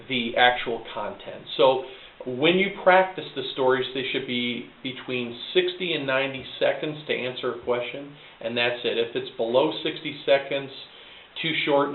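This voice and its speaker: Echoey, phone-like recording of a low-voiced man coaching. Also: a little hiss or hum. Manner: direct, practical, emphatic on key words. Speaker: college recruiter and career coach. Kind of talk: advice talk, semi-prepared instruction. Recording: echoey room, thin phone-like sound.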